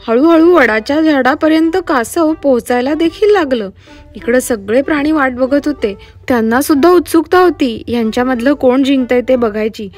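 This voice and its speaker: A woman narrates a children's storybook in a fairly high voice. She is expressive and speaks straight to her young listeners. Music plays behind her. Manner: expressive, addresses children directly. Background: background music.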